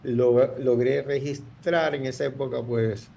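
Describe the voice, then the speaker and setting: A man's voice: medium pitch, steady tone, a little slow, vocal fry, a little mellow, a little hiss or hum. A man, telling his story in an interview, rambling with many restarts. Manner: rambling, full of restarts and fillers. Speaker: guest entrepreneur.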